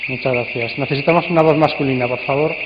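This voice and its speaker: A man's voice: mid-range voice, fairly even intonation, fast. Man from Spain presenting in an echoey hall. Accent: Spanish from Spain. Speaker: speaker and coordinator at a radio drama congress. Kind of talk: live talk to an audience, interactive presentation. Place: large indoor congress hall. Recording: dull, echoey hall recording with faint noise.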